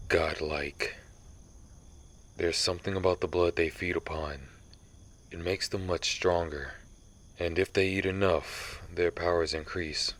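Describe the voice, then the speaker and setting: A man voicing a brooding, centuries-old vampire who hunts his own kind, telling his tale gravely in a measured, dramatic ASMR roleplay. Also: rumbling low.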